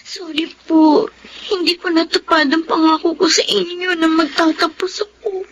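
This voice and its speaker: An actress playing a meek, apologetic young student in a Filipino radio drama, crying and halting, with a Filipino accent. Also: female voice, very high voice, steady tone, fairly bright tone, slight background noise, rough recording. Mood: remorseful, tearful. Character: apologetic, tearful pregnant young student, respectful.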